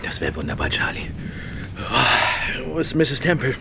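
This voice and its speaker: Cool-headed detective lead, expressive, very noisy radio-play recording. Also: male voice, medium pitch, unhurried, vocal fry. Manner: composed, quietly assured. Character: calm, sharp-witted gentleman detective.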